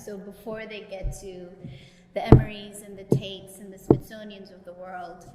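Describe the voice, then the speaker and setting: A woman's voice: mid-range voice, noisy background. A woman with a Guyanese accent, speaking at a public panel talk in a gallery, telling stories and laughing easily. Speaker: panelist and professor. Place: gallery event space. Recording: echoey gallery room with long reverb.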